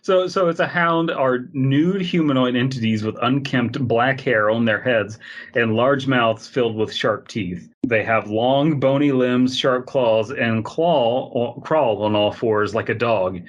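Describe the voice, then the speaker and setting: Male comedy podcast co-host, mid-pitched, loose and rambling, profane, casual reader-reactor. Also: a little slow, lo-fi sound. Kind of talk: casual comedic banter, reading and reacting to internet horror fiction.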